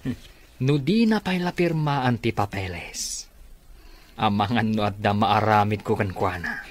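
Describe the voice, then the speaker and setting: An actor playing a scheming, power-hungry villain in an Ilocano radio drama, with an Ilocano accent. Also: male voice, lowish voice, expressive, unhurried. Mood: menacing, frustrated.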